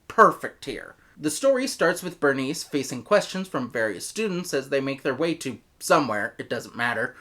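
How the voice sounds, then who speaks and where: An American woman reads a scripted review in a notably low voice. Her intonation swings widely and expressively. She leans hard on key words with playful, exaggerated exasperation. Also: fairly bright tone. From United States. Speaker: audio drama reviewer.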